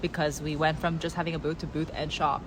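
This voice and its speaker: A young woman co-hosting a casual chat, rambling with lots of fillers and quick to laugh, English not her first language. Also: sits low, little pitch movement. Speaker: co-host. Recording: noisy recording.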